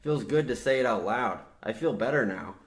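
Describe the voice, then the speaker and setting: Radio play parody: man acting younger trapped coal miner, earnest and burdened by guilt, melodramatic old-radio delivery, medium pitch. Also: animated, moderate pace, close to an everyday voice. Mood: confessional, resigned. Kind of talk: old-time radio horror comedy acting.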